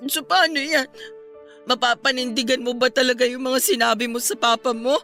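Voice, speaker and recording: A woman's voice: high voice, steady tone, a little fast, sharp tone, soft background music. A woman with a Filipino accent playing a feisty but devoted young woman, with emotional delivery in a radio drama. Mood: tender, supportive.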